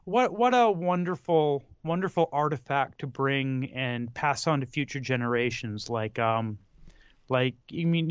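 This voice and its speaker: A man chatting casually as a podcast co-host, halting and searching for words, with dry tongue-in-cheek humor. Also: medium pitch, fairly bright tone, clean tone, dry recording, muffled recording. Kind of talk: casual film discussion.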